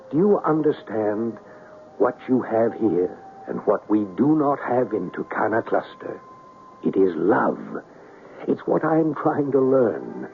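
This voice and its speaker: A man's voice: lowish voice, gravelly, full character voice. A man playing a brooding, pleading alien traveller in a theatrical 1970s radio drama. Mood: earnest, pleading. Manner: theatrical, slow, deliberate delivery. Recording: tinny 1970s radio broadcast, music underneath.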